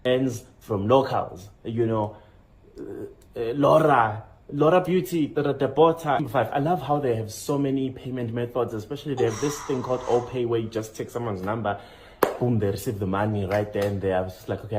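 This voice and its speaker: A man with a South African accent talks through his travel impressions in a relaxed vlog style, jumping up in pitch each time he announces the next number. A faint hiss sits under the recording. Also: brisk. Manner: animated, jumps up in pitch to announce list items. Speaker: vlogger. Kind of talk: casual travel vlog chat.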